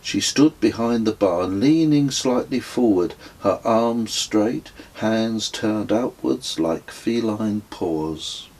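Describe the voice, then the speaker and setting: A middle-aged man narrates a reflective travel memoir in his own words. His voice is fairly low and husky, and he takes his time over each phrase. The delivery is measured and literary, with a dry, wry edge. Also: darkish tone, low-quality recording. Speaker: author reading own memoir.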